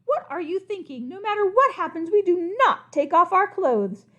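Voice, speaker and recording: A woman's voice: extremely high pitch, expressive, normal speed, pushed and bright, muffled recording, pitched above the performer's own voice, heavily acted. A woman reading a storybook aloud and voicing a fussy, bossy king who scolds and gives orders. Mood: shocked. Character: fussy, bossy king, fitness-obsessed father.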